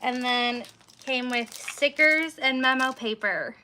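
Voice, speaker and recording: Slow-talking bright-voiced woman. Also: high voice, a little hiss or hum. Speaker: host's wife showing purchases. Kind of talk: casual show-and-tell.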